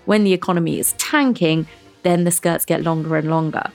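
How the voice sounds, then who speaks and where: Woman podcast co-host, Tamil first language, animated, laughs a lot. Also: fairly low pitch, fairly quick, gravelly, faint music behind. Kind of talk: casual chat.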